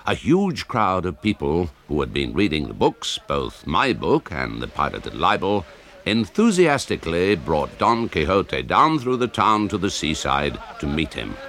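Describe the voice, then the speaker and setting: A man's voice: low voice, huge pitch swings, a little slow, a bit bright, full character voice. An actor playing an embittered old author who narrates his tale in a radio drama, wry, scornful and grandiloquent. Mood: wry storytelling.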